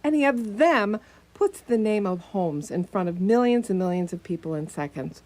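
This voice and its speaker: A brisk, bossy doctor and detective's companion acted by a woman in a comic radio play. The voice is mid-pitched, with an insistent, wry and cheerful delivery. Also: lively intonation, darkish tone, close to an everyday voice. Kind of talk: comic radio theatre acting.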